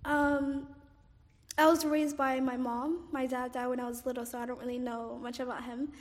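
A woman's voice: high-pitched, flat delivery, moderate pace, big-room sound. A woman in her twenties, born in Somalia and raised in Cairo, being interviewed for an oral history and recalling her childhood with many "um"s and "like"s. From Somalia, raised in Cairo, Egypt. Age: 20s. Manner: hesitant, frequent "um" and "like", soft laughs. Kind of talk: casual personal recollection.

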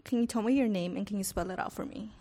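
A woman conducting an oral history interview, asking gentle questions that she often restarts with "um.". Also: medium pitch, fast. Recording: reverberant room, faint noise.

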